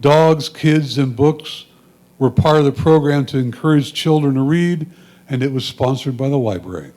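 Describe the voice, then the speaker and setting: A man's voice: mid-range voice, normal speed, a little mellow. An American man gives matter-of-fact, semi-scripted commentary on his photographs, explaining them as he goes. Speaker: club photographer presenting own photos.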